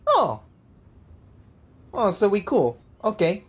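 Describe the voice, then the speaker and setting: A woman's voice: mid-range voice, huge pitch swings, takes it slowly, background noise. A woman giving casual, joking, slangy let's play commentary as she reacts to a game. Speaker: gaming video host.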